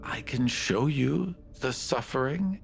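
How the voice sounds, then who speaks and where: A man voicing an earnest, idealistic small male would-be architect of fate in a fantasy roleplay, pleading in a formal, halting, hushed way. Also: medium pitch, expressive, gravelly, breathy edge, soft background music, full character voice. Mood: sorrowful conviction.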